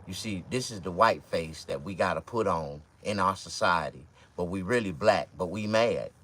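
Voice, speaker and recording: A man around fifty with a Mississippi accent, talking to camera in a loose, profane, rambling monologue with sudden loud outbursts. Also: low voice, moderate pace. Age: 50s. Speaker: vlogger and stand-up comedian.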